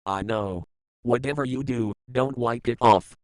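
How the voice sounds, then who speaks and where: A man's voice: medium pitch, a little slow, no echo, natural voice. A man playing a blunt facility worker and husband in an amateur audio drama. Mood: urgent, concerned. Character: male containment facility staffer, blunt, worried husband.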